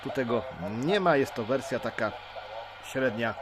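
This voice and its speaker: A man's voice: mid-range voice, lively intonation, noisy background. Polish man casually demonstrating radio gear. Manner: unscripted, halting, explanatory. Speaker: CB radio hobbyist presenter. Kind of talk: informal gadget demonstration.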